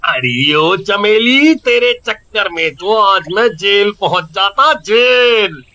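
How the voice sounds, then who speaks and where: A man voicing a scheming, jealous village shopkeeper in a cartoon, with a whining, exaggerated, drawn-out delivery. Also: high voice, animated, bright, forceful tone, dull audio, heavily acted. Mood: exasperated. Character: scheming, jealous village sweet-seller, henpecked husband. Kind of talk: Hindi cartoon voice acting.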